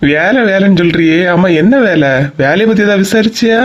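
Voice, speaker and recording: Guy doing grumbly doubting cartoon husband, middle aged, high pitched for a man, teases, kanyakumari tamil accent. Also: fairly quick, muffled recording, natural voice. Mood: sceptical. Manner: mocking, questioning. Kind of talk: comedy cartoon dialogue.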